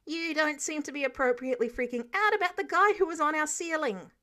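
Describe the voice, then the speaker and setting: A woman's voice: high voice, fairly quick, bright, forceful tone, pitched above the performer's own voice. A woman voicing a startled, indignant man, a superhero teammate who exclaims shrilly, in a story reading.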